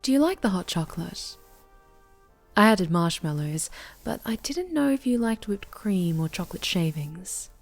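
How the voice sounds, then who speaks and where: A woman's voice: mid-range voice, very animated, moderate pace, faint music behind. A woman playing an obsessive winged superheroine captor in an ASMR roleplay, murmuring with doting, condescending tenderness and slipping into whispers. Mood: sweetly possessive. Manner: soft, cooing, condescending tenderness, drifting into whispers.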